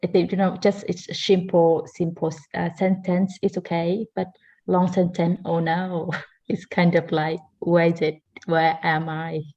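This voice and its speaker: A woman whose first language is Taoi, speaking English slowly and easily in a casual group chat. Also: lowish voice, flat delivery, a little mellow, dull audio. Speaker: participant.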